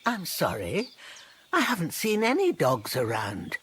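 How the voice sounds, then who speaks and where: A woman's voice: on the low side, huge pitch swings, a little slow, a bit bright, a little hiss or hum, heavily acted. An actress playing a practical, matter-of-fact village herb woman in video game dialogue. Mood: helpful, matter-of-fact.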